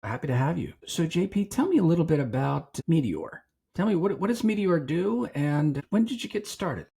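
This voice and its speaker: A male podcast host with a breathy, somewhat husky voice. His delivery is friendly and upbeat, and he moves from a read intro into relaxed interview questions. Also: fairly high pitch, moderate pace.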